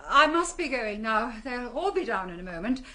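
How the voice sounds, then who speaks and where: An actress playing a brisk, defensive housekeeper in a radio drama, speaking curtly. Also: female voice, fairly high pitch, sing-song, sharp tone, a little hiss or hum. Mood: flustered, brisk.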